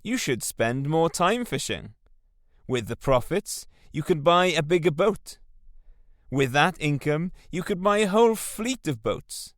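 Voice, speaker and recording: A man voicing an eager, pushy businessman pitching big plans in a salesy manner, his voice raised and louder than his own. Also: big pitch swings, measured pace, dry recording, clean studio sound.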